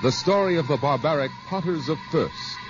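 A man's voice: a little high, animated, normal speed. A man announcing a science fiction show promo in dramatic 1950s radio style. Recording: muffled old radio recording, loud music.

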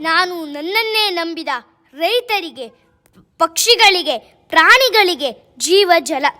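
A woman's voice: extremely high pitch, animated, slow, very noisy, exaggerated voice. A woman voicing a sorrowful river that weeps and laments being wronged, in declamatory speech. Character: weeping female river spirit, sorrowful and wronged. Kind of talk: amateur radio play.

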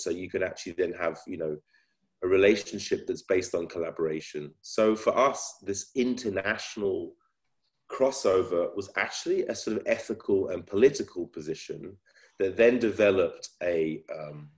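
A man's voice: low voice, a little mellow. A man speaking as a filmmaker on an online panel, reflecting in a thoughtful, searching way and rephrasing as he goes. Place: indoor room on a video call. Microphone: video call.

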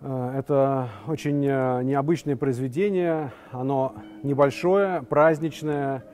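Mellow-voiced man in a concert hall. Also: medium pitch, steady tone, unhurried, faint music behind. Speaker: guitarist and festival organizer. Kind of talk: interview recollections. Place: large empty concert hall, indoors.

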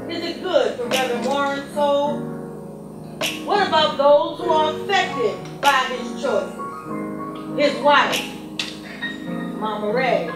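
A solemn female narrator of a stage drama speaks in a high voice with deliberate weight. Her rhetorical lines ring through a very echoey hall, far from the mic, with loud music around her. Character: solemn female narrator posing moral questions. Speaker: narrator of a gospel stage play.

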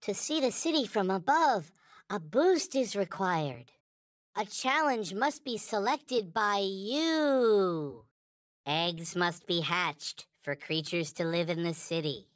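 A man voicing a small, naive, reverent toy space alien in a video game, with a high childlike cartoon voice and solemn, stilted, formal phrasing. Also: a bit bright, dry recording, clean studio sound, heavily acted. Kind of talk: video game character voice lines.

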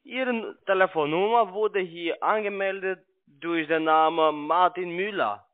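On a thin, tinny phone connection, a man with a fairly high voice presses on with a sales call. He is a non-native speaker, halting and pushy, with broken grammar. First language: not German. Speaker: cold-calling telemarketer. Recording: narrow tinny phone line.

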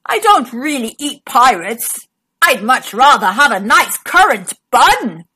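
A woman voicing a big, grumpy but soft-hearted male sea monster in a storybook, with exaggerated whining. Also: sits high, very animated, takes it slowly, slightly rough, full character voice. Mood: friendly.

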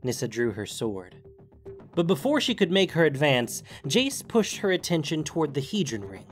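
A man narrating a scripted fantasy story dramatically, with deliberate emphasis. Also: fairly high pitch, very animated, soft background music.

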